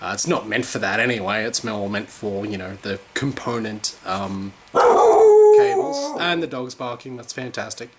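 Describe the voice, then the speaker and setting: A man's voice: medium pitch, wildly swooping pitch, measured pace, background noise. A man chatting casually in a home vlog, rambling, swearing and poking fun at himself. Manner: rambling, sweary, self-mocking. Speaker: vlogger.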